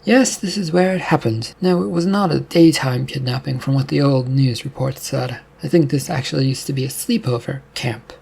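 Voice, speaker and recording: A man's voice: medium pitch, moderate pace, gravelly, few pauses, a little mellow, breathy edge. A man voicing a blunt, commanding part-human paranormal detective. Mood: calm.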